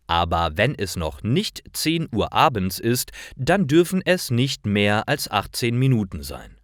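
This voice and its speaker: A man reading scripted short stories for language learners in a deliberate, carefully articulated and expressive way. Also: lowish voice, no echo, studio quality. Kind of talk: scripted narration, slow storytelling for language learners.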